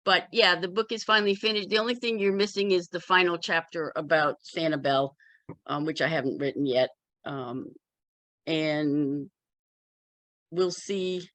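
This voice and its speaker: Woman chatting in a group, bright edgy voice, kinda wry and frank, really dry audio. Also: lowish voice, a little slow, no room sound. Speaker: memoir author in a community discussion. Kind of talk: casual group chat.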